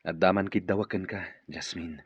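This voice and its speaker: A man's voice: low voice, steady tone, moderate pace, breathy edge, lo-fi sound. A man playing a smitten, playful and earnest company boss in an Ilocano radio drama, with an Ilocano accent. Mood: gently insistent.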